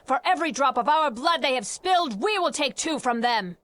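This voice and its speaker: Game casting: fierce, vengeful female rebel commander, high voice, faint noise behind. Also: lively intonation, a little fast, a bit bright. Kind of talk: video game cutscene acting.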